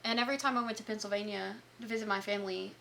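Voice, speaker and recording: Bright-voiced woman, light Southern accent. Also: mid-range voice, fairly even intonation, racing, creaky, slight background noise. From Southern United States. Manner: chatty, enthusiastic, self-interrupting. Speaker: book podcast co-host. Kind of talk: casual chat.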